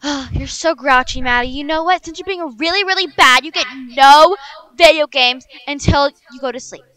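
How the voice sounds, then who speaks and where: A high-pitched evil stepmother acted out by a woman for a game roleplay. The voice is bright and girlish, gushing with fake sweetness and full of gloating spite. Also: moderate pace. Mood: scolding. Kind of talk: improvised video game roleplay. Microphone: headset.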